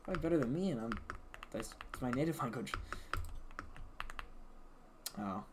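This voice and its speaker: A man's voice: medium pitch, lively intonation, moderate pace. A man streaming a game, chatting casually with viewers and thinking aloud with many restarts. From English-speaking country. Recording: background keyboard clicks and noise. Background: keyboard typing and mouse clicks.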